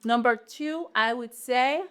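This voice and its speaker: A woman's voice: fairly high pitch, slow pace, clear, resonant tone. A young woman with a Brooklyn accent, a real estate agent telling stories in a casual interview and acting out the dialogue. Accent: Brooklyn New York. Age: young adult. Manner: animated, acts out quoted dialogue.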